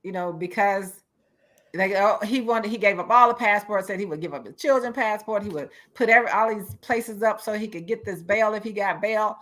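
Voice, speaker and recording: A woman's voice: medium pitch, fairly quick, fairly bright tone. A woman on a discussion panel chatting casually and reasoning aloud in run-on thoughts. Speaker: talk show panelist.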